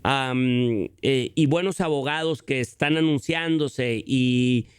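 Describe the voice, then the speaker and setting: Halting male radio host, dry sound. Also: medium pitch, smooth, no echo. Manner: halting, many repeated words and "este" fillers. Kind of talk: interviewing.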